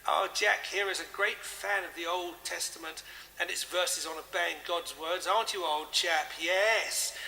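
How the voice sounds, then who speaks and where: A genial male country vicar in an audio drama. His English-accented voice is high and very bright with some creak, and he talks in a chummy, genteel way that brushes things aside. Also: full character voice, low-quality recording. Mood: chummy, placating. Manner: genteel, chummy, dismissive of superstition. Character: genial, chatty, self-consciously hip English country vicar, man.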